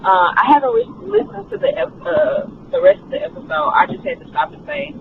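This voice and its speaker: Woman caller, very high, swooping voice with gravel, tinny phone line with clear road noise behind. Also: wildly swooping pitch, brisk. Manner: rambling, candid, with fillers. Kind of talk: voicemail message.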